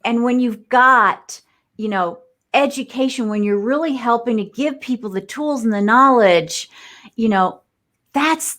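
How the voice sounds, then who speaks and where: A woman's voice: fairly high pitch, fairly bright tone. A woman guest in a live discussion, explaining her views in a thoughtful, rambling way with many fillers and restarts. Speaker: guest entrepreneur.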